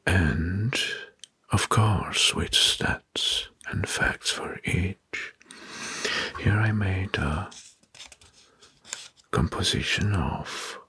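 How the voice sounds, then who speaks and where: Male ASMR narrator: very slow, breathy whisper, deliberate delivery of history facts. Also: low-pitched, animated. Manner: slow, deliberate, often repeating names and phrases softly.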